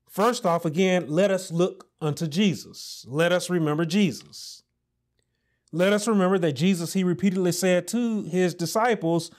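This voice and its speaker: A man in his thirties preaching a sermon as pastor, building to emphatic repeated lines with deliberate pauses, recorded on a lapel mic in a small church room. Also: high voice. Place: small indoor church office set with pulpit.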